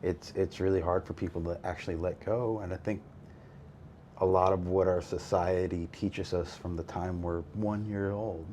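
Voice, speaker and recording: Host, male, deep, easygoing and reflective, slight background hiss. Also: normal speed. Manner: relaxed, reflective, many fillers. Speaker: podcast host. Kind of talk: faith-based recovery conversation.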